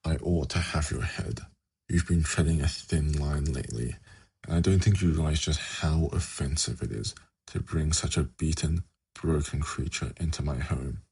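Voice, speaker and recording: Man acting a very deep, gravelly demon prince. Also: mellow, full character voice. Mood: cold disdain. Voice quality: gravelly, husky. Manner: measured, formal, silkily condescending. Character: suave, haughty demon prince of Hell, coolly seductive. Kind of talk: ASMR fantasy roleplay.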